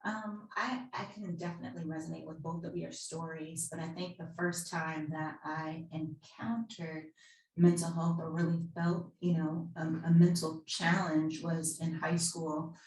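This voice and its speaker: A young woman in her twenties, soft-spoken and thoughtful, hosting and sharing her own story. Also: low-pitched, flat delivery, measured pace. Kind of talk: casual personal storytelling. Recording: echoey room, distant mic.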